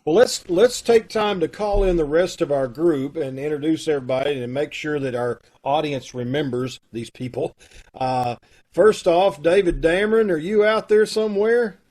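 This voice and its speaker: A man's voice: fairly high pitch, normal speed, a little mellow. A man hosting a live video call from home. He chats casually and rambles, with lots of fillers. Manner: folksy and rambling, with many fillers. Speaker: host of a community theater troupe.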